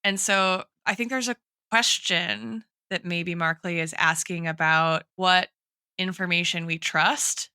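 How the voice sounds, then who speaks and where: A woman's voice: on the low side, vocal fry, sharp tone, smooth, no echo, studio quality. A woman in a thoughtful literary podcast discussion, reflective and analytical, often checking in with "right" and "like". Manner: reflective, analytical, hedging with frequent tags like "right" and "like". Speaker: podcast host and guest expert, a teacher.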